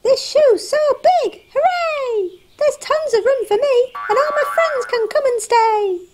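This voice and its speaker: A man voicing a tiny, excitable flea with a squeaky voice in a children's rhyming storybook. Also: measured pace, very noisy. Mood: overjoyed.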